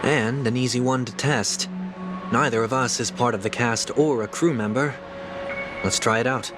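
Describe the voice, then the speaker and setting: A man's voice: medium pitch, fairly even intonation, normal speed, music in the background, lightly acted. An actor playing an earnest, composed young man in a fantasy video game, speaking formally and politely. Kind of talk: scripted video game voice acting.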